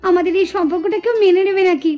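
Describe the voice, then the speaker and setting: A woman's voice: very high voice, steady tone, very fast, dull audio. A woman voicing a young doll sweetheart in a puppet drama, pleading, coy and teasing. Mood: anxious, pleading.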